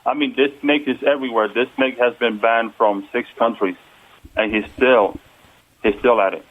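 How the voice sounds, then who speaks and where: A man with a Puerto Rican accent, calling in as a political candidate. He talks earnestly but haltingly, pausing on fillers. The sound is thin and phone-like, at a mid pitch. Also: fairly even intonation. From United States. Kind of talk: phone-in interview. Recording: tinny phone line.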